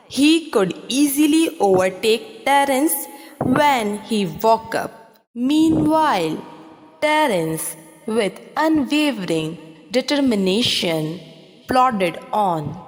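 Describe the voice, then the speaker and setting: A woman reading scripted stories for children like a teacher, pronouncing each word deliberately and clearly. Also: on the high side. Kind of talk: scripted story narration for children. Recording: long reverb, music behind. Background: music.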